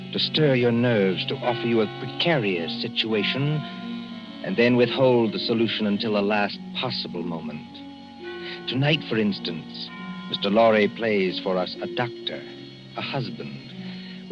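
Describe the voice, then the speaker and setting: Slow, deep, ominous vintage radio narrator, a man. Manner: measured, ominous, theatrical. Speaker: radio drama announcer and narrator. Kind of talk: 1940s radio suspense narration. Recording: dull vintage radio recording with music. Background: music.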